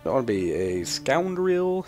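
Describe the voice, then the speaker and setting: A man's voice: medium pitch, very animated, moderate pace, a little mellow, faint music behind. A young man giving casual gameplay commentary in a sweary, irreverent, rambling manner. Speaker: gamer, let's player.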